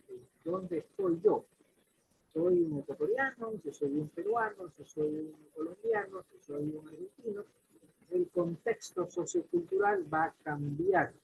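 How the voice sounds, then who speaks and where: A man teaching a class in a loose, chatty lecture, his voice leaping between high and low. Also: creaky, darkish tone, a little hiss or hum, low-quality recording.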